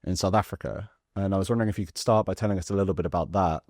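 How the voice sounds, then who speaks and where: A male interviewer asks long questions in a measured way, with a few "uh" and "um" fillers. His voice sits very low and he speaks quickly. The recording is dry and close. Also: a little mellow, no echo.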